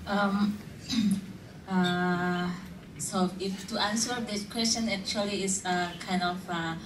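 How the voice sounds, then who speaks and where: A woman from Indonesia, a panel guest, answering slowly with many fillers and restarts as she searches for words. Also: medium pitch, steady tone. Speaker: panel guest, community activist. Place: conference hall. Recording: roomy hall, distant mic, faint noise.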